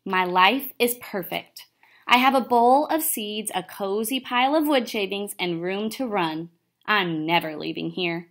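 A woman reads as a chatty little male hamster from a picture book, very pleased with himself. He poses questions and answers them proudly, in a medium-pitched voice. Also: unhurried, a bit bright. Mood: smug. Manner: self-satisfied, asking and answering himself. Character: cocky, chatty, easily delighted male pet hamster.

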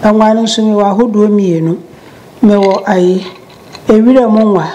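An older woman from Ghana, speaking Twi, explains a family recipe step by step in a calm, unhurried way in her home kitchen. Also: medium pitch, low-quality recording. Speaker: home cook. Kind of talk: cooking demonstration.

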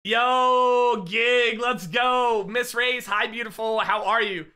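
A man in his thirties streams from home on a headset, shouting excited, profane greetings to his viewers. His voice is high and very bright and forceful, though it is wearing thin as he gets sick. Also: slow pace, clean tone. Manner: loud, excitable, profane shout-outs to chat. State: losing his voice, getting sick. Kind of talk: casual live-stream chat.

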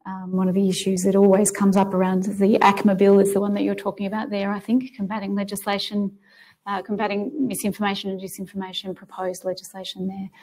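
A woman panelist answering an audience question in a measured, composed way. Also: mid-range voice, completely flat delivery, warm tone, low-quality recording.

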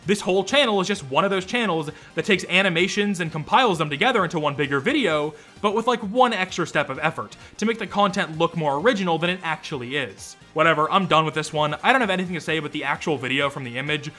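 A young man delivers sarcastic commentary in a bright, highly animated voice. He sounds exasperated and snarky, swears casually, and has faint music underneath. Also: fast, few pauses. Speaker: YouTube commentator. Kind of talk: sarcastic video commentary with ranking verdicts.

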